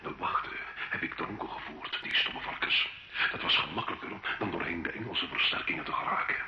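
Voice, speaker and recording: An actor playing a rough, loyal soldier, urgent and imploring, in the theatrical manner of an old radio drama. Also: male voice, low-pitched, brisk, over loud music, close to an everyday voice. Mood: conspiratorial. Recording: dull, roomy old radio recording with noise.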